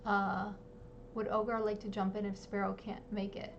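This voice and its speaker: A woman's voice: medium pitch, flat delivery, normal speed, vocal fry, noisy background. Woman, stream host, casual chat, filler-heavy, muffled lo-fi sound. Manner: chatty, many ums, apologetic. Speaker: livestream interview host. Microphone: home streaming setup.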